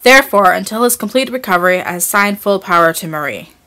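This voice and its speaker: A woman voicing a calm, authoritative father in a storybook reading. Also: mid-range voice, quick pace. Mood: stern.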